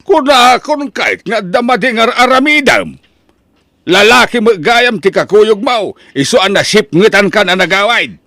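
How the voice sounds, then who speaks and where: A man's voice: sits high, animated, normal speed, gravelly, bright, forceful tone, no room sound, studio quality, full character voice. A man playing a strict, hardworking older father, a farmer, in an Ilocano drama with emphatic delivery. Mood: stern, scolding. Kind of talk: Ilocano radio-style drama acting.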